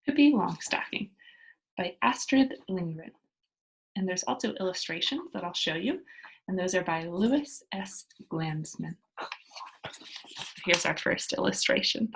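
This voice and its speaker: Woman reading to children with lively intonation. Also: on the low side, unhurried, gravelly, long pauses, low-quality recording. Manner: storytelling, addressing children. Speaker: teacher reading a book aloud to pupils. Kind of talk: expressive children's read-aloud with informal explanations.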